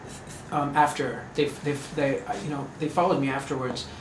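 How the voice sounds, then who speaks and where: A man's voice: mid-range voice, level tone, moderate pace. A man, a guest in a casual interview, talking hesitantly with many ums and restarts. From United States. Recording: echoey room with clear background noise.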